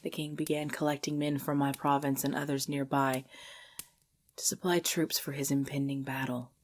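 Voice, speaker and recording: A woman voicing an ancient, possessive vampire lover in an intimate ASMR roleplay, speaking breathily and formally, often dropping into a whisper. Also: deep voice. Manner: slow, formal, archaic phrasing, hushed.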